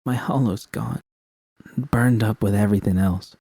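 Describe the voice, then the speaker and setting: A man reading aloud, voicing a distraught, guilt-ridden young woman in high, strained, pleading tones. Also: a little slow, creaky, mellow, slightly rough, no echo, heavily acted. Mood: crushed.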